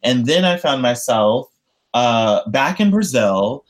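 A man's voice: medium pitch, takes it slowly. A young man from the United States, talking about his activist work in an interview, earnest and rambling with lots of fillers and restarts. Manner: earnest and expressive. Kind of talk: casual interview conversation.

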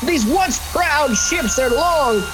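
High-pitched, melodramatic man playing a radio reporter. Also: expressive, slow, background noise, exaggerated voice. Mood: awed, frantic. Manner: stammering, breathlessly melodramatic. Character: excitable, bumbling male radio field reporter with lurid flourishes. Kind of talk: 1930s radio news acting, spoofed.

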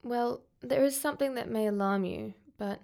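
A woman's voice: on the high side, normal speed, gravelly, a little mellow. A woman with an Australian accent playing a concerned, polite but insistent school counsellor in a radio drama. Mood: careful, concerned.

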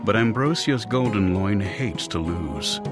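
An actor playing an exasperated, principled supervillain in an audiobook drama, flustered and theatrical in manner. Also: male voice, lowish voice, animated, unhurried, over loud music. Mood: brooding. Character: exasperated, principled male supervillain with a false arm. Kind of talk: full-cast audiobook drama.

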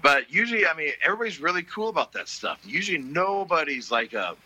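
Husky, bright-toned middle-aged man. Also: on the high side, fast, poor audio. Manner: relaxed storytelling, many fillers. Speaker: blues musician guest. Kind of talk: casual interview chat.

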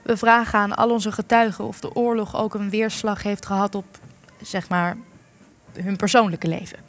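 A woman's voice: mid-range voice, steady tone, normal speed, lightly acted. An actress playing an earnest young female interviewer in a Dutch radio play, speaking carefully and deferentially. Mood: polite, tentative.

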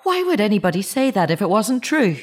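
A middle-aged mother in mourning in an audio drama. She probes earnestly and insistently, her pitch moving widely through a naturalistic delivery. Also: big pitch swings, a little fast. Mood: probing. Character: grieving, searching mother of a dead teenage son.

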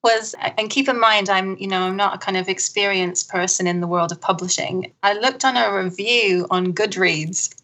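A woman who translates books, answering modestly and hesitantly, with many pauses and nervous laughs. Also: medium pitch, a bit bright. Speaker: literary translator. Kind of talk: conversational interview answers.

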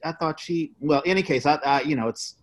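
A man's voice: a little high, fast talker. A man co-hosting a podcast, chatting casually in a rambling, tentative way. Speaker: podcast co-host. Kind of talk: casual interview chat.

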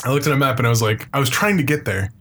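A man's voice: mid-range voice, lively intonation, rapid-fire, a bit bright. Rambling American man over background noise. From Phoenix, Arizona. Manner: rambling, sweary, repeats phrases in lists. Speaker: podcast co-host. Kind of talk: casual, unscripted conversation.

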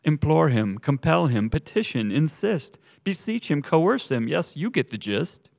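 A man voicing a panicked chorus of waiters in a rhyming story, pushing his voice high and fast as they beg. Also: expressive, gravelly, darkish tone, no room sound, clean studio sound. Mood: panicked begging. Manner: frantic, begging.

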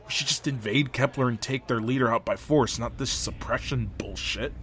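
A man acting a combative soldier in an audio drama. He sounds blunt, crude and sneering, in a mid-pitched voice. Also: normal speed, heavy background noise, natural voice. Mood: scornful. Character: scornful, hot-headed male soldier, crude and combative.

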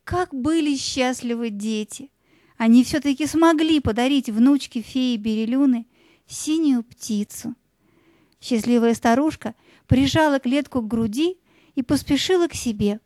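A woman narrating a scripted fairy tale as an expressive storyteller. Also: high-pitched, a little slow, no echo.

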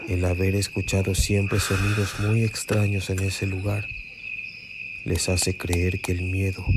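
A man's voice: sits low, heavy background noise. A man narrating a scripted horror radio story with dramatic suspense. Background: music and night insects.

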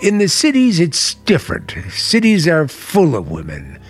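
An actor playing a charming but menacing uncle in a radio play, suave and deliberate with a bitter, contemptuous edge. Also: male voice, sits high, wildly swooping pitch, breathy edge, faint music behind, heavily acted. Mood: bitter contempt. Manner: suave, deliberate, turning to cold disdain. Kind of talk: dramatic radio play acting.

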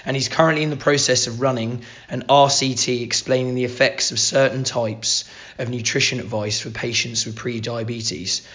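A male podcast host with a hoarse, croaky voice, talking in an upbeat, informal way. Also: mid-range voice, steady tone, moderate pace, a bit bright, muffled recording. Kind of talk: casual podcast chat.

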